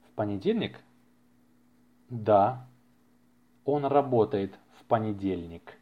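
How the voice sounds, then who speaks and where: A man's voice: lowish voice, slow. A man hosting a language-lesson podcast, reading practice dialogues with careful enunciation and repeating phrases for learners. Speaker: language teacher and podcast host. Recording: slightly muffled lo-fi podcast recording.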